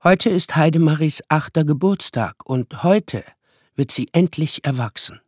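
A man narrating a radio play as storyteller of a child's magical world, with measured, dramatic phrasing. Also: fairly high pitch, a little mellow, slightly rough, no room sound, clean studio sound. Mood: storytelling.

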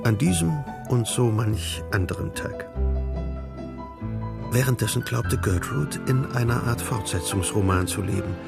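A dry storyteller narrates a radio drama. His voice is very creaky and gravelly at a medium pitch, with music clearly playing behind it. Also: lively intonation, unhurried, full character voice. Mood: measured, wry.

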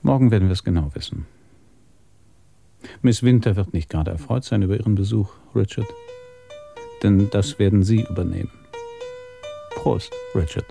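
A man's voice: lowish voice, normal speed, gravelly, long pauses, warm tone, faint music behind. An actor playing a seasoned, sardonic male police inspector in a German radio crime drama. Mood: brisk, conclusive. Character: seasoned, dry-witted male New York police inspector.

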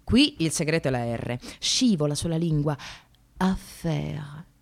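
Ironic woman worker, very animated. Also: low-pitched, measured pace, gravelly, full character voice. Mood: wry, confiding. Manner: wry, confiding, expressive. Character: ironic, anxious precarious woman worker recounting her life. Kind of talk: theatrical radio monologue. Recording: radio play with music behind.

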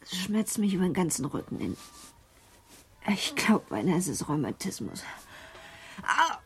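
A woman in a radio play acting a young wife who coyly and evasively feigns pain, with a breathy, hesitant, plaintive delivery. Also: lowish voice, huge pitch swings, a little slow, heavily acted. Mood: plaintive, suffering.

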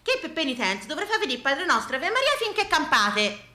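Woman putting on an exasperated village priest, high and echoing. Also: big pitch swings, brisk, sharp tone, exaggerated voice. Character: exasperated male village priest hearing confessions. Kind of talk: comic theatre storytelling.

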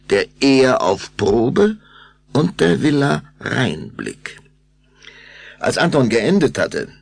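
A man with a gravelly, raspy voice, narrating a scripted children's radio play. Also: sits low.

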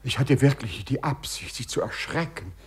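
A man acting in a 1950s German radio play as a courteous, lovestruck gentleman burglar with formal, flowery speech. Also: mid-range voice, expressive, normal speed, husky. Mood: flustered, apologetic. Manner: formal, flowery, over-polite. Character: courteous, lovestruck gentleman burglar who walks through walls.

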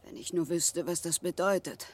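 An actress playing a gaunt, sickly, bitter spinster in a radio drama, severe and foreboding in manner. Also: female voice, fairly low pitch, normal speed, darkish tone, lightly acted. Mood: reproachful.

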